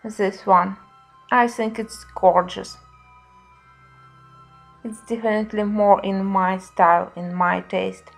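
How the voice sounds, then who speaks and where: A woman giving a casual review narration in simple, slightly halting non-native phrasing. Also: medium pitch, steady tone, gravelly, soft background music. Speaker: colouring book reviewer.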